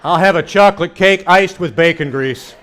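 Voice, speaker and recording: A man doing stand-up comedy storytelling to a live audience, animated and acting out reactions and dialogue as he goes. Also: fairly high pitch, normal speed, slight background noise. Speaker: comedian and keynote speaker. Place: church hall in front of a live audience. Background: audience laughter.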